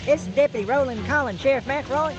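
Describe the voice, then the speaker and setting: An actor playing a folksy, easily rattled small-town deputy with a Southern drawl in a comic radio drama. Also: male voice, very high voice, fast talker, highs cut off, loud music behind the voice. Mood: folksy, chatty. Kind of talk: old-time comic radio acting.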